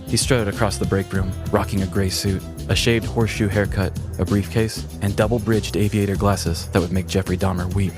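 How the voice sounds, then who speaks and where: Low-voiced man narrating dramatically. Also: fairly even intonation, fairly quick, few pauses, over music. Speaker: narrator and podcast host. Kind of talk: scripted comedic story narration.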